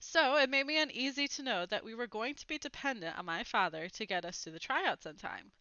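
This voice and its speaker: Animated woman reading a children's storybook. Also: fairly high pitch, fairly quick, dry recording, lo-fi sound. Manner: animated, dramatic emphasis. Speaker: storybook reader. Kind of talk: expressive read-aloud of a children's novel.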